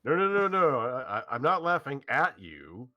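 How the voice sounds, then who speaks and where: A man's voice: mid-range voice, sing-song, moderate pace, pushed and bright, dry recording, muffled recording. A man reading a nervous teenage boy in a staged reading, stammering and hesitating through his lines. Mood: flustered.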